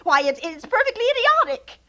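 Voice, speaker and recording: An actress playing an anxious, imperious invalid wife in a vintage radio thriller, stammering, flustered and demanding. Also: female voice, sits high, animated, fairly quick, bright, forceful tone, muffled recording. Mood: exasperated. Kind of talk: 1940s radio thriller acting. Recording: restored vintage radio recording.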